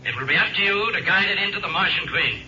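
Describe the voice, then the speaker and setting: An American man playing a brisk, grave army general in a 1950s radio drama, businesslike and urgent. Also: high-pitched, bright, forceful tone. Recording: dull, noisy 1950s radio recording.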